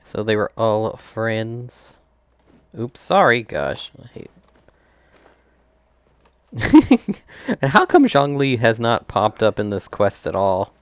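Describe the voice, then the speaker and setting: A casual, chatty streamer commenting on a video game. The delivery is very unhurried with lively swings in pitch, recorded close and dry. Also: dry recording. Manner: chatty, reacts aloud to the game.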